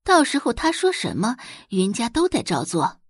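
A woman's voice: on the high side, huge pitch swings, moderate pace, breathy edge, dry recording, studio quality. A woman narrating a novel as an audiobook, in a dramatic scripted storytelling style.

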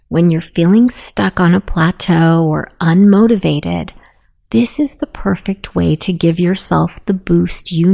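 A woman leading a guided meditation in a soothing, hushed manner with long pauses. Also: on the low side, gravelly. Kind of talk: guided meditation, self-hypnosis narration.

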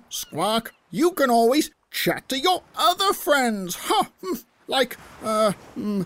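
A lonely fussy seagull, squawks, hesitant, lively up and down, slow. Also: female voice, high-pitched, exaggerated voice. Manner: hesitant, squawks between phrases. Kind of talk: children's storybook acting.

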